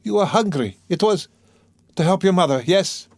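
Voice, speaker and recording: A man's voice: mid-range voice, animated, normal speed, darkish tone, no echo, pitched above the performer's own voice, lightly acted. A man voicing a distraught, timid, tender-hearted young woman in a dramatic audiobook reading, urgently questioning and pleading. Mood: horrified, pleading.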